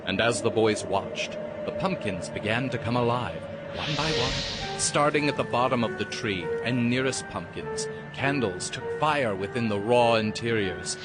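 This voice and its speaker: A man narrating a dramatized audiobook in a deliberate, suspenseful storytelling style. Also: mid-range voice, lively intonation. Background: prominent music.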